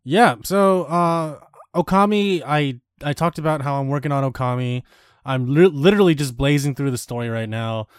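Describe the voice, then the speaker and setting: A man's voice: on the high side, normal speed, clean tone, no room sound, clean studio sound. A man co-hosting a podcast, chatting in an easygoing way with lots of fillers and upbeat asides. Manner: easygoing, filler-heavy, enthusiastic. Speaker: podcast co-host. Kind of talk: casual chat.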